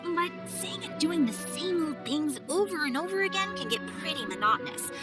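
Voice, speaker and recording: An actress playing a friendly, quietly wise receptionist in a video game, reflective and measured. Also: female voice, extremely high pitch, wildly swooping pitch, over loud music, full character voice. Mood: relaxed, musing. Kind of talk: video game character voice acting.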